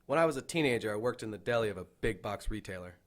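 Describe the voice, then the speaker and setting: A man's voice: fairly low pitch, animated, racing, a bit bright. An actor playing an earnest young rookie policeman with a matter-of-fact manner in an audio drama. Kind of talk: radio drama acting.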